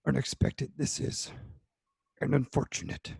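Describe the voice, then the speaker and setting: A man voicing a tiny, ancient, dying alien sage, wise and weary, in halting inverted phrases during an amateur script read. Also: medium pitch, little pitch movement, a little mellow, no room sound, clean studio sound, heavily acted. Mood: grave, weary. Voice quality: creaky, croaking, husky. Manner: inverted syntax, halting, grave. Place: home room, on a video call.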